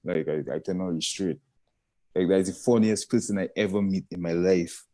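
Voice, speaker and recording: A man's voice: low-pitched, normal speed, warm tone. A young man with a Caribbean accent, a guest comedian chatting casually and rambling as he searches for words. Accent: Caribbean English. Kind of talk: casual chat.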